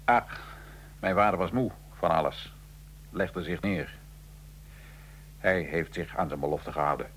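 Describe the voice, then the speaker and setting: A man acting in a radio drama as a defiant, wry nineteenth-century Italian revolutionary prisoner, telling his story gravely and with irony. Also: low voice, measured pace, long pauses, slight background noise. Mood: grave, wry recounting.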